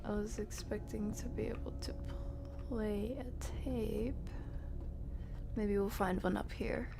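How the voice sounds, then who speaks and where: A woman's voice: mid-range voice, fairly even intonation, slow pace, gravelly, noisy background. A woman giving casual, playful live game commentary in slangy language, often murmuring to herself and slipping into whispers. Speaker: game streamer.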